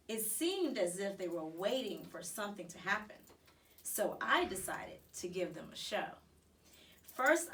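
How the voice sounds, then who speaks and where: A woman reading a children's storybook aloud in an expressive, narrated style. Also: medium pitch, far from the mic, a little hiss or hum. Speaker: storybook reader.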